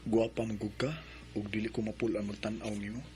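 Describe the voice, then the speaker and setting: A man playing a gentle, earnest young man courting a woman in a radio drama. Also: fairly low pitch, level tone, fast, close to an everyday voice. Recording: radio drama with music bed.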